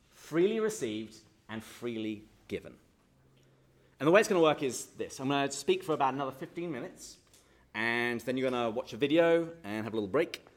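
A man with an English accent giving a light-hearted church talk to a live audience, quizzing them with jokes before leading a prayer. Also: medium pitch. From England. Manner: jokey and chatty, quizzes the audience, then leads prayer solemnly. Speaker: speaker at a parish talk. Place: hall with an audience.

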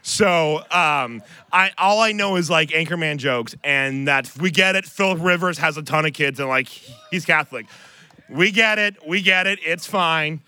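A man's voice: fairly high pitch, normal speed, sharp tone, clean tone, no echo. An American man co-hosting a live podcast, bantering casually with sarcastic, self-deprecating jokes and rambling asides. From Ohio, United States. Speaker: podcast co-host. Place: on stage before a live audience. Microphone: close stage microphone.

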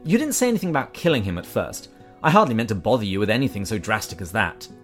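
A man voicing a fussy, evasive male ghost who pleads and makes excuses in a higher, strained voice. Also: big pitch swings, fast, faint music behind. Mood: pleading. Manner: whiny, pleading, self-excusing.

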